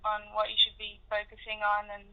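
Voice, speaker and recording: A woman's voice: mid-range voice, monotone, fairly quick. A woman musician talking casually in an interview, chatty and full of fillers, grew up in Africa and Indonesia. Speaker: musician in a band. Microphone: phone. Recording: dull phone line.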